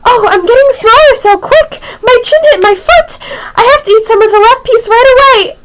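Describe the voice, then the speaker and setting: Female actor as bewildered little girl, very high voice, polite, earnest, radio-play delivery. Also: moderate pace, noisy background. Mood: flustered. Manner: earnest, expressive. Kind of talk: amateur homeschool radio play.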